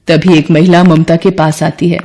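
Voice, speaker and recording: A woman narrating a cartoon story in a steady storytelling manner. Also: deep voice, moderate pace. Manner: steady storytelling, also voices the poor mother.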